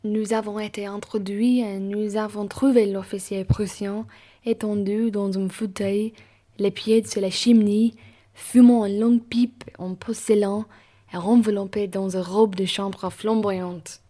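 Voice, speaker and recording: A dignified aristocratic gentleman acted by a woman with a husky, muted voice. He speaks formally and emphatically, with theatrical indignation, as in an amateur radio play. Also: medium pitch, flat delivery, a little slow, natural voice. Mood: grave, resigned.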